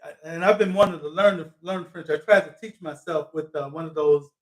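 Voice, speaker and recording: A man's voice: on the high side, fairly quick, low-quality recording. A man hosting a live talk show, interviewing a guest in a thoughtful way with frequent fillers. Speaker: host.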